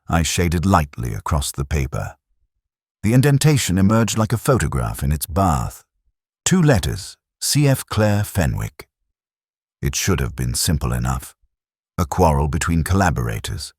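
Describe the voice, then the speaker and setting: Middle-aged man, extremely low pitch, husky edge, brooding detective-story narration, measured literary phrasing. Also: no room sound, studio quality. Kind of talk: scripted first-person detective story narration.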